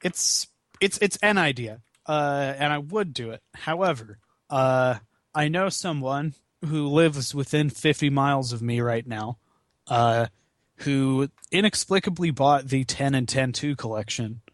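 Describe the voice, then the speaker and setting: A man chatting casually as a podcast guest, rambling and halting, with some swearing. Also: mid-range voice, dry recording, clean studio sound. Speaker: podcast guest, charity gaming marathon organizer. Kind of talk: casual chat.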